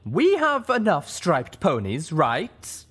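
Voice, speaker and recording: Shrill, whining alicorn mares, dazed and muddled, bicker with one another in a storybook reading, as a man puts them on. The voices sit far above a man's usual range, and the lines are drawn out slowly. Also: huge pitch swings, a bit bright, slightly rough, full character voice. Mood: whiny, bickering. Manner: high, whiny and bickering. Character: group of dazed mind-controlled alicorn mares.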